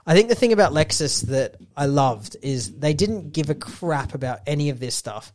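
A man co-hosting a casual car podcast, talking in a relaxed, opinionated way with frequent fillers. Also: fairly high pitch, normal speed. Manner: relaxed, opinionated, says um and yeah often. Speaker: podcast co-host. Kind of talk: casual chat.

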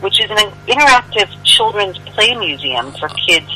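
Bright American woman on a phone line. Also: medium pitch, lively intonation, moderate pace. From United States. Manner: earnest, articulate, upbeat. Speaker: museum CEO, guest. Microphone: telephone.

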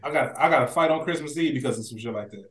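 A man's voice: mid-range voice, racing. A man with an American accent talking casually and colloquially as a co-host on a review podcast. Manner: colloquial, conversational. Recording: roomy, echoey sound.

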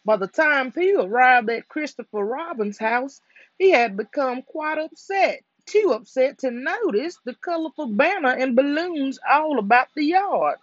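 A storyteller reading a children's book aloud for bedtime in a soothing, deliberate way. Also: high voice, animated, no room sound, dull audio.

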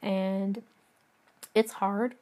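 A hoarse woman hosting a podcast, reading her own poem and talking it over in a soft, halting way with many fillers. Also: mid-range voice, flat delivery. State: hoarse from singing.

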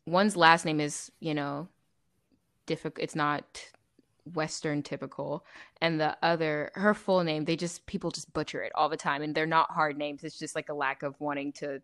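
Young woman, lowish clear smooth voice, emphatic, animated casual talk. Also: normal speed. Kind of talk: casual discussion.

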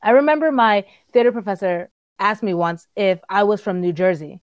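A woman's voice: mid-range voice, moderate pace, dry recording, highs cut off. Actor guest, woman from Brooklyn, wry storyteller, dry close recording. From Brooklyn, New York. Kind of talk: personal storytelling.

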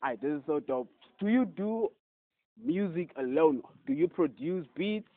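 A man's voice: a little high, expressive, normal speed. A young man hosting a casual interview, hyped and loose, repeating "yes, sir" and "my guy". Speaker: podcast host. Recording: tinny phone-like audio.

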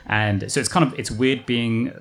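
A man's voice: mid-range voice, steady tone, moderate pace, a bit bright. A man with an English accent, a guest telling his family story in a chatty, rambling way. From England. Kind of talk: casual interview answers.

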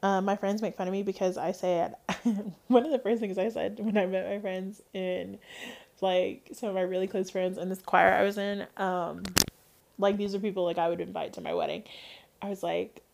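A woman's voice: mid-range voice, fairly even intonation, moderate pace, gravelly, a little mellow. A young woman from North Georgia with an Atlanta Southern accent, chatting casually on her podcast, rambling with lots of fillers. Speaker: podcast host. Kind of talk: casual chat.

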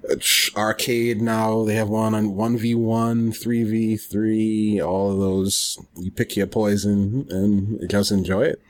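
A man with a fairly low voice co-hosts a podcast. He chats casually and haltingly, working out his thoughts as he speaks. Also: level tone. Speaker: podcast co-host.

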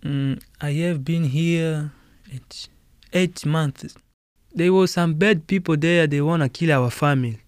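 A man with a Congolese accent answering interview questions in halting, non-native English, often repeating words and laughing. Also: on the high side, clean tone, no room sound, clean studio sound. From Democratic Republic of Congo. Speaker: guest musician.

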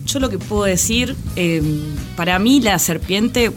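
Argentine woman on radio, casual chat, kinda hesitant, music clearly playing behind her. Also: mid-range voice, measured pace. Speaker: radio co-host. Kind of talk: casual panel discussion. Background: background music.

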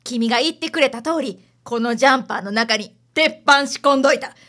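A woman's voice: high-pitched, a little fast, fairly bright tone. A woman playing an eager, curious client with a Kansai accent in a radio drama. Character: eager, curious female client tagging along. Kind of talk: community radio drama acting.

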